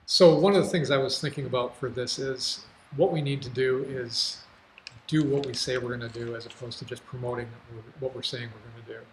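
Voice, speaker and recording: A man's voice: medium pitch, steady tone, brisk, roomy sound, slight background noise, poor audio. A plainspoken man giving a candidate's answer at a public forum. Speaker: city council candidate. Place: indoor TV studio.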